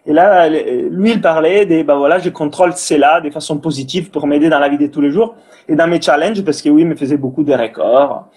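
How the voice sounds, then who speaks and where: Italian man speaking slightly high French. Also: a little fast, a little mellow, breathy edge. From Italy. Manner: hesitant, many fillers and restarts, non-native French grammar. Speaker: breathing and cold exposure instructor, guest. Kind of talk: casual interview talk.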